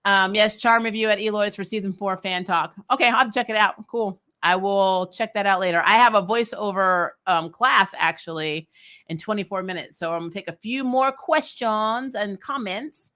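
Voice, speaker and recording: A woman hosting a recap vlog, chatting casually and answering comments with strong opinions, in an emphatic, blunt, animated manner that includes swearing. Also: medium pitch, normal speed. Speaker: host of a TV show recap vlog.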